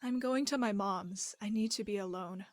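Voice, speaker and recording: A woman's voice: medium pitch, moderate pace, dry recording, close to an everyday voice. A woman playing a weary, hurt wife in a game drama, pleading and reproachful. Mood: angry, fed up. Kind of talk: dramatic game cutscene acting.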